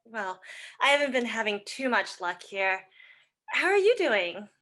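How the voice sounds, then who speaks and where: A woman's voice: on the high side, very animated, measured pace, fairly bright tone. A woman playing a flustered, giddy, chatty speed dater in an audio drama. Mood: giddy, frazzled. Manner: flustered, giggly.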